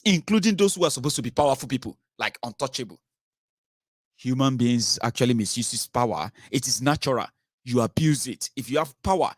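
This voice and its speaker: A man's voice: medium pitch, dry recording. Nigerian man hosting a phone-in, dry close sound. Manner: emphatic, rhetorical questions and tags. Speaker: host of a political phone-in show. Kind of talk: conversational political commentary with callers.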